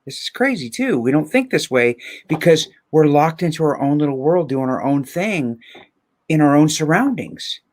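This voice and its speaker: A man's voice: on the high side, sing-song, normal speed. A man speaking reflectively and conversationally, with earnest emphasis and rhetorical questions, as a guest on a livestream.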